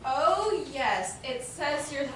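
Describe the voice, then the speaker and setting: A woman's voice: high-pitched, very animated, takes it slowly, full character voice. A woman acting a timid, apologetic bank clerk in a stage play. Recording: echoey hall from far off, audible noise.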